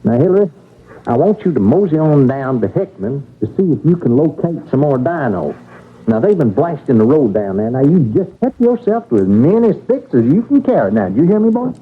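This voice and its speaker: An actor playing a crotchety, bossy old safe-blower in a radio drama, with a folksy, scolding, halting way of talking. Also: male voice, on the high side, sing-song, slightly rough. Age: older. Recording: muffled, phone-like radio drama recording.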